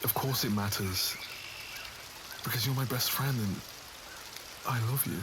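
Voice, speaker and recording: A man's voice: mid-range voice, unhurried, natural voice. A man playing an earnest, lovesick nobleman in an ASMR roleplay, with formal, wounded phrasing. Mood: protective, upset. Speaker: voice actor in an audio roleplay. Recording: audible water and outdoor ambience noise.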